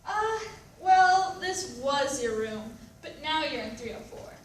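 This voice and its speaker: A woman plays a sheepish motel clerk with something to hide. The voice is high and theatrical, set back in an echoey room with slight noise. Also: animated, takes it slowly. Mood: flustered, sheepish. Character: apologetic, shifty motel clerk cheating a forgetful guest. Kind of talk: readers theatre stage acting. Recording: echoey room, distant mic, background noise.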